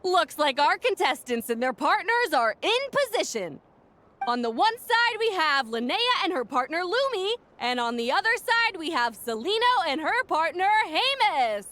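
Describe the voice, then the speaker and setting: A woman's voice: very high voice, sing-song, moderate pace, a bit bright, exaggerated voice. A woman voicing a peppy, showy female tournament announcer in a video game, theatrical and emphatic. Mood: excited, showy. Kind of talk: video game sports commentary.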